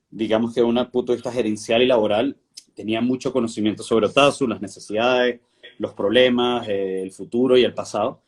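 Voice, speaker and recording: Low-voiced Venezuelan-born man, thoughtful. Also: a little mellow. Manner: thoughtful, many fillers and restarts. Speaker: guest, winery director. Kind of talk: relaxed conversational interview.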